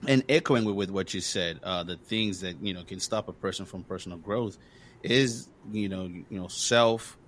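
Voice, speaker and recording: Man from Congo, podcast host, very low voice, earnest and encouraging, faint background hiss. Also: moderate pace, a bit bright. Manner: earnest, encouraging, with many fillers. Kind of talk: casual conversation.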